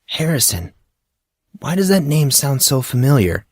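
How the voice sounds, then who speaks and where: A man's voice: mid-range voice, very animated, measured pace, breathy edge, dry recording, pitched above the performer's own voice. A man reads as a young teenage boy in an audiobook tale. He is polite and a little awkward, speaking mildly and hesitantly in a dry, close sound. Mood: puzzled. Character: awkward, polite fourteen-year-old boy, new at school.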